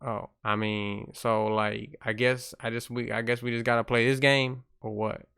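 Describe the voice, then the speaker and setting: A man's voice: mid-range voice, flat delivery, normal speed, creaky, a bit bright, clear, resonant tone. A man playing a clueless, slangy, easygoing young gamer as a prank caller, rambling hesitantly and repeating himself in a casual phone conversation. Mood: confused, disappointed.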